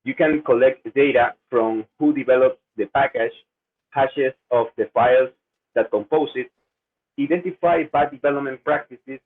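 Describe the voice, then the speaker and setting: Ecuadorian male researcher, brisk conference presenter, tinny phone-quality audio. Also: medium pitch. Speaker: security researcher.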